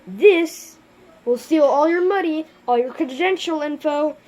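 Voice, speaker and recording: A kid playing a high-pitched urgent news reporter. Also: a little slow, a little mellow. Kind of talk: mock news bulletin in puppet show. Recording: noisy amateur home recording.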